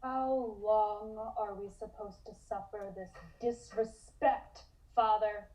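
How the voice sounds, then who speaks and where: A woman's voice: mid-range voice, steady tone, slow pace, dark tone, close to an everyday voice. A woman voicing a resentful, impatient young son of a cultist in an amateur table read. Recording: distant home microphone.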